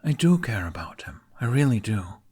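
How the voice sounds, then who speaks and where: A man's voice: medium pitch, big pitch swings, normal speed, a little mellow, husky, pitched above the performer's own voice. A man voicing a guarded young man who hides his worries behind half-hearted jokes in an audio story. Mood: reluctant, sincere. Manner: flippant, half-hearted joking.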